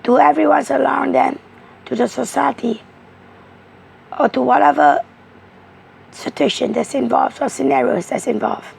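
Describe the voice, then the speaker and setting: A woman with a hoarse, strained voice slowly explaining tarot cards. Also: high-pitched, steady tone, slight background noise. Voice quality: rough, strained voice. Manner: slow, halting, repetitive explaining. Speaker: tarot card reader.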